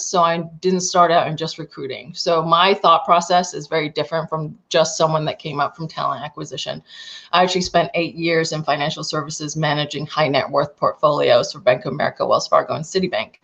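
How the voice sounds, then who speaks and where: A woman's voice: deep voice, fairly bright tone. A woman recruiter gives candid, practical advice and thinks aloud as she talks. Her voice comes through dull, lo-fi video-call audio. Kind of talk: panel discussion.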